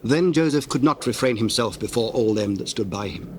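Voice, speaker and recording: A man narrating dramatized scripture in a measured, solemn and formal manner. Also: mid-range voice, lively intonation, slight background noise.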